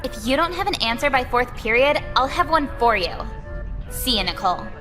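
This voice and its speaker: Blunt teenage schoolgirl, high voice, pushy, long reverb, visual novel dialogue. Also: big pitch swings, brisk, over music. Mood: fed up. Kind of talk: visual novel game voice acting.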